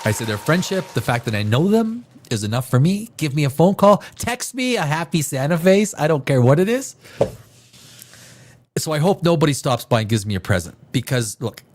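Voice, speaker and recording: A man's voice: fairly high pitch, animated, moderate pace, darkish tone, some chatter behind. Stuffed-up, chatty livestream host man. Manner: rambling, chatty, restarts and repeats himself. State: stuffed up from a cold. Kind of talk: casual chat reading viewer comments.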